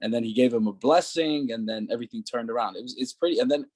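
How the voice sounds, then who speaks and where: A man's voice: medium pitch, fast talker, darkish tone, no echo. A man hosting an interview, asking long, loose questions full of fillers. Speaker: interviewer and host.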